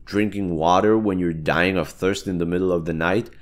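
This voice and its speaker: A man's voice: low voice, fairly even intonation, moderate pace, lightly acted. A man voicing a chatty brain-eating amoeba who bargains persuasively and explains things casually in a one-sided audio roleplay. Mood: eager, reassuring.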